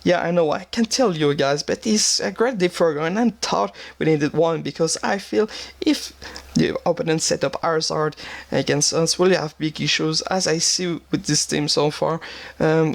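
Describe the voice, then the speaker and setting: Young quebec guy w french accent, bit high and gravelly, lots of ums and restarts talking games. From Quebec, Canada. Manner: halting, many fillers and restarts, searching for words. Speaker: gaming channel host. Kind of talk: game teambuilding commentary.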